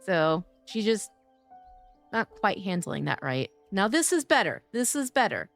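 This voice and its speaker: A woman livestreaming a game, chatting playfully with her viewers, her voice a bit hoarse from days of streaming. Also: mid-range voice, very animated, a little slow, a bit bright, faint music behind. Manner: playful, chatty, greeting chat by name. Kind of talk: casual game-stream chat.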